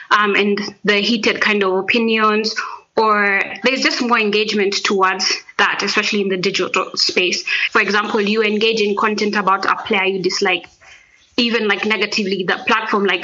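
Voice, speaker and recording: A woman's voice: medium pitch, sharp tone, muffled recording. A woman sports psychologist explaining a topic in a casual, conversational way, often saying "like". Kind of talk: interview answer.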